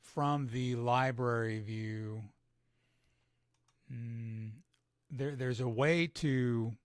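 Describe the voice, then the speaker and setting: A man's voice: medium pitch, drawn-out words, smooth, no echo, clean studio sound. Casual man demoing photo edits. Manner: casual, thinking aloud, many fillers and "right?". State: losing his voice. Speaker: photographer demonstrating editing software. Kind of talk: live stream software tutorial.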